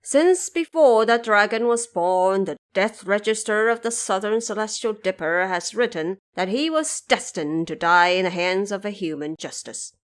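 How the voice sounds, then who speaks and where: A solemn ghost king of the underworld , played by a woman holds court in an audio drama. The speech is formal and declamatory, courteous but stately, at a middling pitch for a female voice. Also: animated, moderate pace, few pauses, lightly acted. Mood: stately, commanding.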